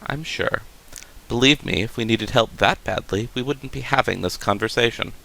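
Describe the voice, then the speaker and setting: A man playing a probing therapist doctor in a science fiction video game, with a measured, dry way of talking. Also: medium pitch, vocal fry, bright, forceful tone, rough recording. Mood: measured, weary.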